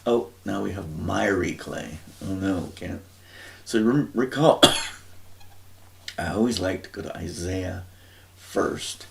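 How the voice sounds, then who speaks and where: A man giving an informal, rambling Bible teaching, thinking aloud and often pausing to search for words. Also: low-pitched, vocal fry.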